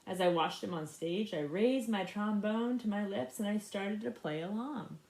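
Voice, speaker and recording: A woman reading a picture book aloud in an expressive, animated way for young listeners. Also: mid-range voice, creaky, reverberant. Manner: animated, pauses to ask young listeners questions. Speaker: storybook reader. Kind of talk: expressive children's read-aloud.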